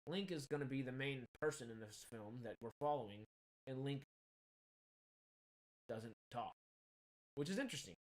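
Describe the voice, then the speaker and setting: A man in his thirties with a slight Texan accent, hosting casual film news commentary in an animated, rambling, emphatic way. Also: medium pitch, moderate pace, pauses often. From Texas. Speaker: film news show host.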